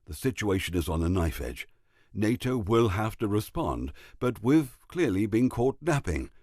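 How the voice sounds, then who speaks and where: A man playing a grave military expert, giving measured, ominous analysis in a mock news drama. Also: fairly low pitch, sing-song, a little mellow, dry recording, studio quality. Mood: worried.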